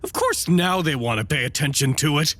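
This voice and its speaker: A man's voice: a little high, animated, brisk, a bit bright. An actor playing a brusque, pragmatic male scientist in an audio drama, dry and matter-of-fact. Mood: dry, urgent.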